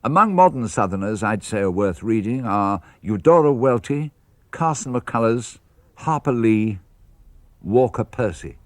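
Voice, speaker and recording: A man with a British accent reading letters for radio in an articulate, animated way. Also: lowish voice, unhurried, no echo. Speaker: reader of letters.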